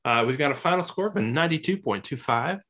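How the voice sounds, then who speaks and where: A man's voice: on the high side, lively intonation, fast, fairly bright tone. A man hosting a casual film review podcast, upbeat and jokey as he steers the talk between segments. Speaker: podcast host.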